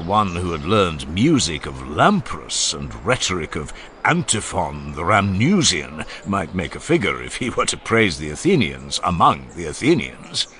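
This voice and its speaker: Socrates, a wry old philosopher. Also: male voice, low-pitched, sing-song, a little slow, pushed and bright, slight background noise, full character voice. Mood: ironic. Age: older. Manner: ironic, teasing, expansive. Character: Socrates, older male philosopher, wry and ironic. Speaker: voice actor. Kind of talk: dramatized audiobook reading.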